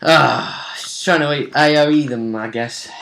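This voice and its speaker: Male, game master in relaxed, conversational recap of a tabletop session. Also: mid-range voice, unhurried, vocal fry. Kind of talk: casual chat recapping a tabletop role-playing session.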